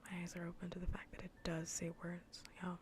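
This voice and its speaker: A woman streaming in a soft, breathy, often whispered ASMR voice, chatting informally and playfully close to the mic. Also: fairly low pitch, completely flat delivery, quick pace, a little hiss or hum. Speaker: streamer hosting a live cozy gaming broadcast. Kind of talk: soft-spoken ASMR casual chat. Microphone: close ASMR microphone.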